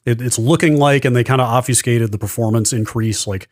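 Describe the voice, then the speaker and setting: A man's voice: medium pitch, fairly quick, a little mellow, no echo, clean studio sound. A man co-hosting a podcast, explaining things casually and in detail with careful qualifications. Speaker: podcast host. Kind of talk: casual tech news discussion.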